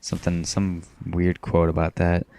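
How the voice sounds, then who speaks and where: A teenage boy from Maine rambling in a self-described monotone, talking casually over his gameplay with frequent restarts. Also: deep voice, moderate pace, slight background noise. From Maine, USA. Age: teens. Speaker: gaming commentator.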